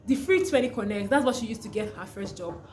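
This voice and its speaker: A woman's voice: medium pitch, animated, fast talker, a little mellow. Young Nigerian woman, Nigerian accent, chatty vlog talk, faint hiss behind. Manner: chatty, restarts often, laughs through stories. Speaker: vlogger, freelancer. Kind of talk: casual talk to camera.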